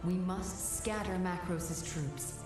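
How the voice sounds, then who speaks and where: An actress playing a composed, stern mystical guide in a fantasy video game, speaking in a measured, formal way. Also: female voice, on the low side, steady tone. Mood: firm briefing. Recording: echoey room, music behind.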